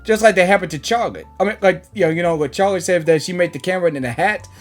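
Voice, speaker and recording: A woman's voice: fairly low pitch, fast. A woman giving casual, rambling, giggly reaction commentary on a video game. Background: game music.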